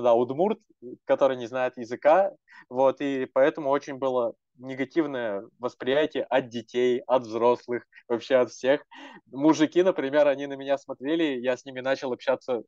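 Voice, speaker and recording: Young male activist, earnest, rambling talker, mid-pitched, dry and slightly muffled recording. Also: darkish tone, dry recording. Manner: earnest, rambling with many fillers and restarts. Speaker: language activist. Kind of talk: casual panel talk.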